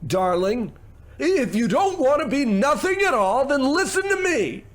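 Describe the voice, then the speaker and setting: Here is a man acting as a pushy male show-business producer from an old-style radio comedy. The voice sits high and swings widely in pitch. He sweet-talks and belittles in the same breath, smug and bossy. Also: big pitch swings, a little slow, fairly bright tone, exaggerated voice. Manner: smooth-talking, condescending, gushing endearments. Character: brash, smarmy, domineering male TV producer.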